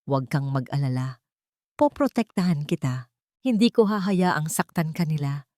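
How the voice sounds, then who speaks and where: A woman voicing a calm, serious, protective male stranger with an earnest, reassuring manner in audiobook dialogue. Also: sits low, moderate pace, no room sound, studio quality.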